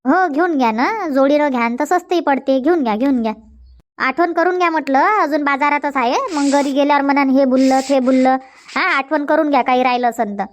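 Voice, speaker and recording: A woman's voice: high voice, a little fast, close to an everyday voice. A woman voicing a chatty, bossy but good-natured village housewife in a dubbed comedy cartoon, speaking colloquial, repetitive Marathi with a rural Vidarbha accent.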